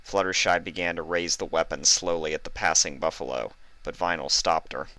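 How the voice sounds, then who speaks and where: A man with a deep voice narrates a piece of fan fiction. His tone has a bright, forceful edge. The sound is close and very dry, as scripted reading. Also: steady tone, a little fast, no echo, low-quality recording.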